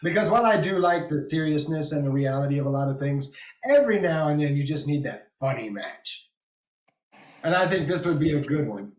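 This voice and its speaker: A man's voice: fairly high pitch, expressive, a little fast, reverberant, rough recording. A man from West Texas with a West Texas accent, hosting a casual wrestling podcast, laughing often and reading viewer comments aloud. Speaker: podcast host.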